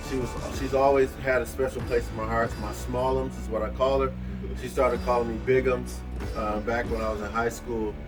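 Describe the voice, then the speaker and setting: A man co-hosting a casual vlog, giving informal, appreciative shout-outs in a heartfelt way. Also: mid-range voice, flat delivery, fairly quick, low-quality recording. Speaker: co-host of a video channel. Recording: music playing behind the voice. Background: background music.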